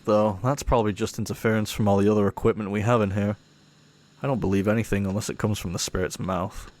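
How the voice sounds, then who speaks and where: A cocky male ghost hunter played by a man in an audio roleplay. His voice sits on the low side, and he talks in an offhand, dismissive way, teasing the newcomer as he goes. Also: steady tone, fast, a little mellow, natural voice. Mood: skeptical. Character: cocky, irreverent male ghost hunter showing a rookie around. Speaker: paranormal investigator character.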